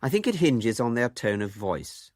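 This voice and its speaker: Dry close studio sound, man, scripted radio narration, animated melody, dry wit. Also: medium pitch, moderate pace, no room sound. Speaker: documentary presenter.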